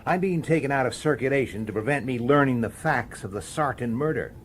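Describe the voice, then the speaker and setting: An actor playing a dogged, defiant police detective in a radio drama, insistent and accusatory, with sardonic questions. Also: male voice, mid-range voice, fairly quick, natural voice. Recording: slightly noisy radio drama recording.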